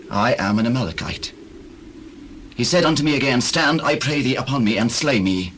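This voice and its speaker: An actor playing a subdued young messenger from a battlefield, gravely recounting in a scripture audio drama on old cassette. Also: male voice, medium pitch, a bit bright, close to an everyday voice. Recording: noisy old cassette tape recording.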